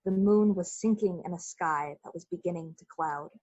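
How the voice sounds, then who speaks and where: A woman's voice: fairly low pitch, moderate pace, a little mellow, lo-fi sound. A woman giving scripted literary narration as the storyteller of an old-fashioned radio play.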